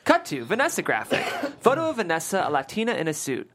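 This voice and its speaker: Low-voiced woman reading screenplay stage directions animatedly. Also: huge pitch swings, fast talker. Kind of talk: scripted screenplay narration at a table read.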